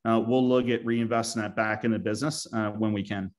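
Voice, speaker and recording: A man's voice: fairly low pitch, fairly even intonation, fairly quick. A man speaking as a company executive, giving answers on an earnings call.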